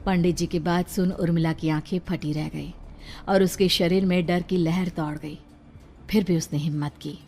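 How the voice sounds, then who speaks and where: A woman's voice: lowish voice, fairly even intonation, normal speed, warm tone, soft background music. A woman narrator reading a scripted horror story.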